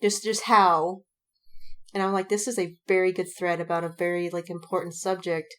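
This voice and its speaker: A woman's voice: fairly low pitch, little pitch movement, normal speed. A woman in casual podcast chat about fandom, speaking emphatically with slang and swearing and repeating words for effect. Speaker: podcast co-host.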